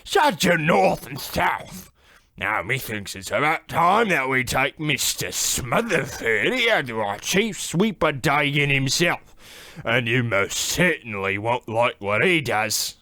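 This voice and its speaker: A man's voice: mid-range voice, sing-song, slow, sharp tone, dry recording, exaggerated voice. A man playing a chummy, bumbling Cockney chimney sweep villain in a comic radio play, full of theatrical banter. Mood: mock menacing. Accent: exaggerated Cockney. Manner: theatrical rhyming-slang banter. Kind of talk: comic old-time radio play acting.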